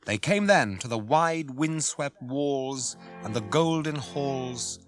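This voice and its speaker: British male narrator over soft music. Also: medium pitch, animated, slow pace. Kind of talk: scripted dramatic story narration.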